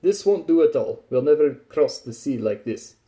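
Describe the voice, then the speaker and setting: Man putting on a gruff, loud soldier. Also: on the high side, expressive, normal speed, natural voice. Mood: grumbling. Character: gruff, boozy, smug Russian male soldier. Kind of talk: dramatised story reading.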